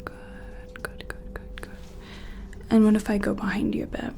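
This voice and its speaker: A woman playing a gentle, curious sci-fi examiner in an ASMR role-play, soft-spoken and slipping in and out of a soothing whisper. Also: medium pitch, level tone, moderate pace, creaky, natural voice.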